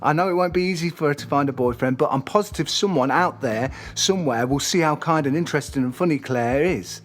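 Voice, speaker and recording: A man's voice: on the high side, fast talker, natural voice. A man playing a hurt, earnest, loyal boyfriend in an audio drama, pleading and protesting. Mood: protesting, defensive.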